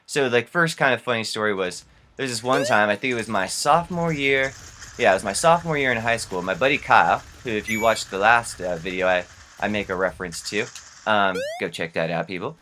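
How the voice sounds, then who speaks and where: A man's voice: lowish voice, lively intonation, fairly quick, pushed and bright, slight background noise. Young man rambling through casual stories. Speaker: gaming vlogger telling stories. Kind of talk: casual rambling storytelling over gameplay.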